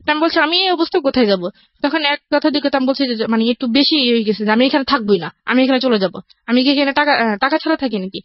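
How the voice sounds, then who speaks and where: A woman's voice: high voice, rapid-fire, dry recording, highs cut off. A woman from rural Bangladesh with a Bangladeshi Bengali accent, telling her life story as an interview guest in a rambling, repetitive, conversational way.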